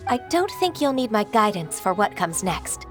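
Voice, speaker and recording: A woman playing a gentle, modest and composed secretary and mentor in an anime game dub, speaking with polite, measured formality. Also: mid-range voice, big pitch swings, natural voice. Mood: composed explaining. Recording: music behind.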